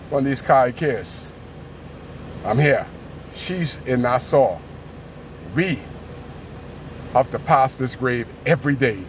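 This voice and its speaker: Casting: Bahamian male local resident, mid-range voice, emphatic and indignant speaking out, recorded outdoors with noise behind. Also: mid-range voice. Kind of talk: impassioned political commentary to camera. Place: outdoors at a graveyard.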